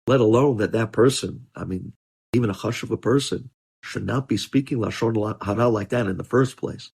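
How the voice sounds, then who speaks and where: A man's voice: lowish voice, normal speed, vocal fry, a little mellow, slightly rough. A man giving a religious lesson as a rabbi, explaining emphatically and posing questions that he then answers. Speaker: rabbi teaching religious law.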